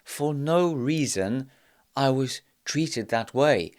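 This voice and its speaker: A man in his thirties from South Africa and Poland, hosting a podcast and telling his life story in a measured, reflective way, pausing often on "uh". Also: mid-range voice, expressive. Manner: measured, reflective, with frequent "uh" pauses. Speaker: podcast host. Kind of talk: personal storytelling monologue.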